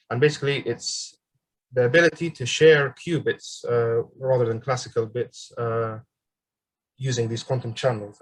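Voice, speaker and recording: A man in his twenties from Egypt giving an online seminar presentation as a graduate student, pausing often with ums and uhs. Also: mid-range voice, poor audio. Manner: hesitant, frequent ums and uhs. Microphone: video call.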